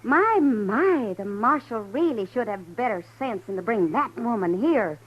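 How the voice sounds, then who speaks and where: A woman's voice: on the high side, animated, a little slow, breathy edge. A woman playing a wry, teasing saloon hostess in a 1950s radio drama. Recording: dull old radio recording.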